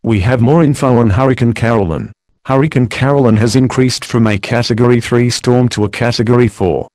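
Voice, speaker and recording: A composed male news anchor played by a man delivering scripted storm coverage. His pitch is average and his manner formal and matter-of-fact, recorded dry with hardly any room. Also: fairly quick, darkish tone, no room sound, natural voice. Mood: serious, composed.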